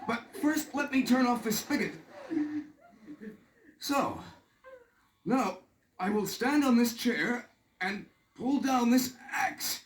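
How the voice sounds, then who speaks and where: A man's voice: very high-pitched, takes it slowly, long pauses, a little hiss or hum. A man playing a sensible young fairy-tale traveler, declaiming theatrically on an old roomy story record. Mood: exasperated, decisive.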